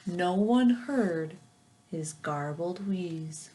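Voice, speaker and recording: A woman reading a children's picture book aloud like a teacher, with expressive, rhythmic phrasing aimed at young listeners. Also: on the low side, drawn-out words, slight background noise. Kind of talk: children's storybook read-aloud.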